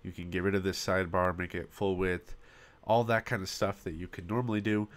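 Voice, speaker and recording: A man's voice: sits low, level tone. A man with a voice he is losing walks through building a website step by step, in a casual screen-recording tutorial, coughing now and then into a popping desktop mic. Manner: casual step-by-step narration with frequent pauses. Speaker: web design tutorial host. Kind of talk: screen-recorded software walkthrough.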